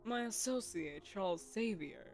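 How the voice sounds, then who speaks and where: A woman's voice: mid-range voice, expressive, a little slow, faint music behind. A woman voicing a poised, commanding telepathic queen who hosts a lavish gala, speaking to the listener in a silken, condescending, persuasive way. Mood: cool. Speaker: voice actress. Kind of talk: audio roleplay, spoken to the listener.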